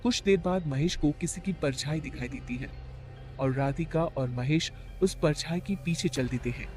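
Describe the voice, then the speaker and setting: Male storyteller, slightly high voice. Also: moderate pace, faint music behind. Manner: steady storytelling. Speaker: narrator. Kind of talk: scripted story narration.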